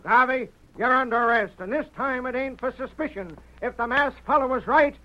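Rustic Old West sheriff, American Western accent, plain-spoken, very high pitch, dull vintage radio sound. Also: male voice, normal speed. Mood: stern.

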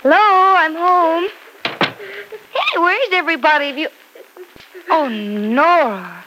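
A gentle, comforting woman, played by an actress in an old radio soap, calmly reassuring her friend. Her voice sits at a middle pitch over a faint background hiss. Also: moderate pace, lightly acted. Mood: soothing. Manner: soothing and reassuring. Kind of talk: 1950s radio soap acting.

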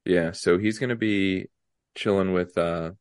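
A young man with a stuffy, congested nose co-hosting a casual podcast chat, halting with many ums and restarts. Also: deep voice, fairly even intonation, no room sound, studio quality. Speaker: podcast co-host.

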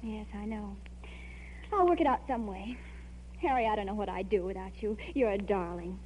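Actress doing earnest young woman, mellow soft voice, old radio recording w hum and echo. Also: mid-range voice, moderate pace, natural voice. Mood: tender, uneasy. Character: devoted, grateful young working woman. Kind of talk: old-time radio drama acting.

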